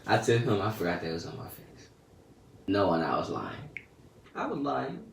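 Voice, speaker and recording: A teenage boy casually telling a story about his day in slangy, laid-back talk that often trails off soft and quiet. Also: low-pitched, sing-song, normal speed, vocal fry, far from the mic. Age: teens. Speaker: vlogger. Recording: echoey room, a bit off-mic, faint noise.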